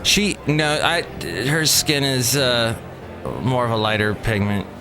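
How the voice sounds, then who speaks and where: A man's voice: medium pitch, measured pace, music in the background. A man hosting a comedy radio chat show, talking in a playful, goofy, rambling way.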